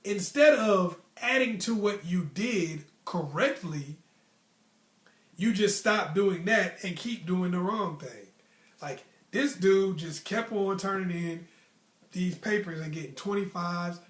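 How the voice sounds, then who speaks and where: A young American man with a noticeably high voice tells stories in a casual, slangy way. He leans emphatically on his points as he hands out motivational advice. The sound is roomy, with clear echo around the voice. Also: slow pace. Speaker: storytelling host.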